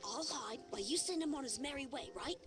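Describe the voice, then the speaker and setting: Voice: sits high, lively intonation, fast talker. A performer voicing a sly, cheeky thieving rat puppet with a theatrical, scheming manner, in a puppet show. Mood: sly, wheedling. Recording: music behind.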